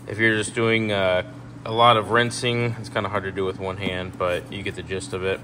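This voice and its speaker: A young man casually walking through his work trailer, talking in a relaxed, rambling way with lots of ums. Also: fairly low pitch, normal speed, slight background noise. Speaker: pressure washing business owner. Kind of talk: casual walkthrough tour. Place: indoor metal trailer, shop garage. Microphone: handheld phone camera.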